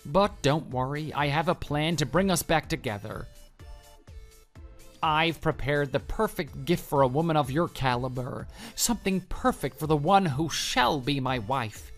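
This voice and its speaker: A man's voice: on the high side, animated, unhurried, a bit bright, soft background music. An actor playing a deluded, pushy male office coworker in love, making florid, earnest declarations in a scripted online drama. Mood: smug adoration.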